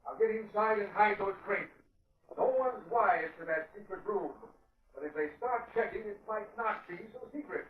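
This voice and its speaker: Haughty radio mastermind villain, highish male voice, grandiose talk, muffled old broadcast sound. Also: moderate pace, husky. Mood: conspiratorial. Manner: grandiose, pompous boasting. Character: haughty, panicky male criminal mastermind boss. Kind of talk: classic radio drama acting. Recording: dull vintage radio broadcast recording.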